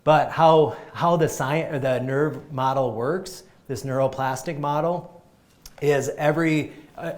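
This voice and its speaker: Male chiropractor giving a live talk to a group, energetic, emphatic, average-pitched voice. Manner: energetic, emphatic, frequent restarts.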